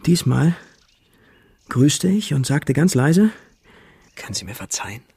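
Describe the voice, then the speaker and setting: An actor playing a lovestruck young male student who tells his story in hushed, hesitant literary narration for a radio play. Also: fairly high pitch, big pitch swings, measured pace, vocal fry, breathy edge, no echo, full character voice. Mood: shy, tender. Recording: radio drama production with music behind.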